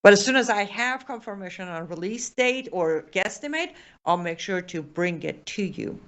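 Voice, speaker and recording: Woman host, Persian accent, chatty and animated on a live stream, lots of fillers. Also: mid-range voice, normal speed. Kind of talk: casual live Q and A, reading viewer comments.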